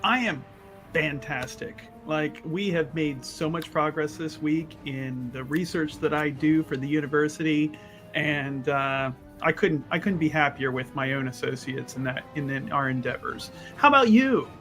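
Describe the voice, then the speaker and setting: A man's voice: on the high side, normal speed, a bit bright, soft background music, natural voice. A man playing a cheery, chatty university researcher in a tabletop roleplay, with upbeat, eager, teasing delivery.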